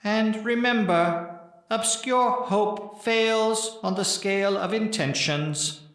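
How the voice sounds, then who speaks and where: Man voicing a slow, grandiose alien circus master. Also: high voice, a bit bright, very echoey. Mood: solemn, grand. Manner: slow, solemn, ceremonious. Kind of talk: audiobook character acting.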